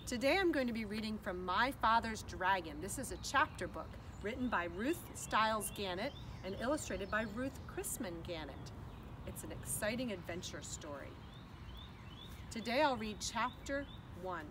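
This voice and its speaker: Woman, storytime reader, highly varied intonation, expressive, for young listeners, noisy outdoor-sounding recording. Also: normal speed. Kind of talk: animated children's read-aloud narration.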